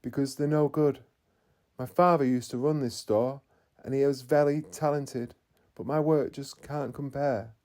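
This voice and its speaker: A man's voice: medium pitch, steady tone, measured pace, darkish tone, voice pushed up, natural voice. A man reading a storybook, voicing a downcast, self-doubting young craftsman.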